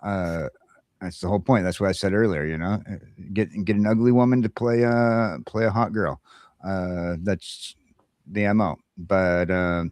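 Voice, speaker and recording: An American man co-hosting a casual morning podcast, talking in a rambling, opinionated, halting way. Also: deep voice, fairly even intonation, a little mellow, no echo, clean studio sound. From United States. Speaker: talk show co-host.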